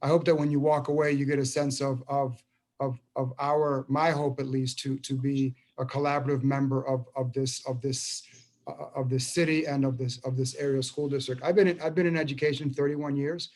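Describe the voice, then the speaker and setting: A man in his fifties, a school superintendent, addressing a public meeting by video call in a halting way with many repeated words and restarts. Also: medium pitch, flat delivery, darkish tone.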